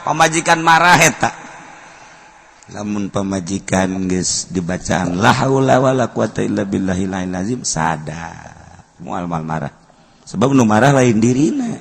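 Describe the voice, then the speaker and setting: An older man with a Sundanese accent giving a humorous sermon, telling animated stories, breaking into laughter and shouts. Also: medium pitch, creaky. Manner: animated storytelling, shouting and laughing at his own jokes. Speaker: Islamic preacher.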